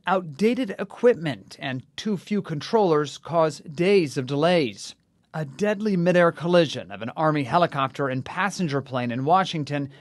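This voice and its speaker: A man's voice: on the high side, no echo, studio quality. A man with an American accent delivering scripted television news narration as a reporter.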